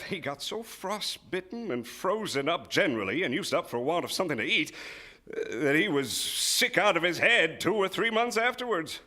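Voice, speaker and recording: A chatty, amused train conductor with folksy, colloquial delivery. The voice is bright and swings widely in pitch, in the style of live radio drama. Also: male voice, full character voice. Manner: colloquial, animated yarn-spinning. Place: theater stage before a live audience.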